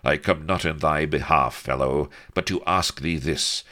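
A man voicing a proud gentleman hero in a storybook, speaking scornful, clipped, archaic formal lines. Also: rumbling low, measured pace, heavily acted. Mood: snapping. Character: haughty, steely young gentleman hero, the king's man.

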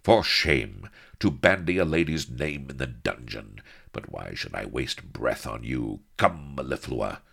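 A man's voice: rumbling low, animated, measured pace, gravelly, a bit bright, slightly rough, heavily acted. Man acting a pompous, indignant English hero. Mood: haughty. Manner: pompous, clipped scolding. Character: haughty, indignant young English naval hero, prim and pompous.

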